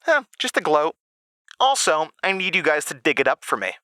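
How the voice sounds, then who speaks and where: A man's voice: on the high side, big pitch swings, moderate pace, pushed and bright, clean tone, dry recording, studio quality, pitched above the performer's own voice. A man voicing a smirking, gloating thirteen-year-old bully girl with a taunting manner in a horror audio drama. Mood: smug, taunting. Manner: taunting, sneering.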